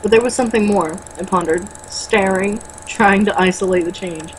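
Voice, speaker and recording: A woman's voice: mid-range voice, moderate pace, poor audio. A woman with a mid-range voice reads a book aloud in an informal, amateur way. She stumbles on the odd word and makes blunt, sweary asides. It is a home recording with noticeable background noise. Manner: stumbles over words when reading, blunt and sweary in asides. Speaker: co-host reading a novel aloud with commentary. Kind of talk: amateur read-aloud with mocking casual commentary.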